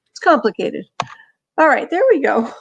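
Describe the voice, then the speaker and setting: A woman giving an unprepared acceptance speech over a video call, halting and moved, saying she is losing her voice. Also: high voice, very animated, gravelly. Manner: halting, many restarts, moved. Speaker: award winner, magazine managing editor.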